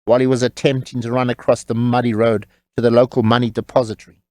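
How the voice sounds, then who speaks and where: A man narrating a scripted audiobook story in a measured, wry storytelling manner. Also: mid-range voice, flat delivery, a little mellow, no room sound.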